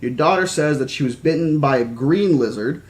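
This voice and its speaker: A man's voice: on the high side, moderate pace, lightly acted. A man reading as a confident, friendly field biologist. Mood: confident, reassuring.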